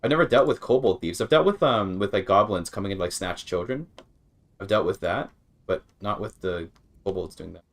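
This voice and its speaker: Low-voiced man streaming a game. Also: fairly quick. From Canada. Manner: rambling, repeats words, thinking aloud. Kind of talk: casual live gameplay commentary.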